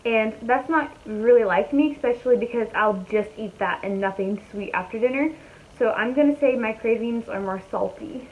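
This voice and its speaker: A young woman chats casually from home, her voice a little high and slightly raspy from hoarseness. She talks in an easygoing, rambling way, thinking aloud as she goes. The recording sounds a bit muffled and lo-fi, with a faint hiss behind her. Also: normal speed. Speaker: vlogger. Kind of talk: casual chat to camera. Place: at home, indoors.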